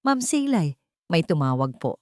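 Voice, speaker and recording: A woman's voice: deep voice, very animated, unhurried, a little mellow, no echo, studio quality. Woman narrator as polite Filipino office assistant. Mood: polite, attentive. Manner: courteous, brief, businesslike. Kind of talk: audiobook character dialogue.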